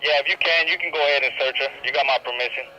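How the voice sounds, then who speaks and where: A man's voice: mid-range voice, very fast, dull audio, music in the background. A man giving casual instructions over a walkie-talkie, posing as store staff. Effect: walkie-talkie radio.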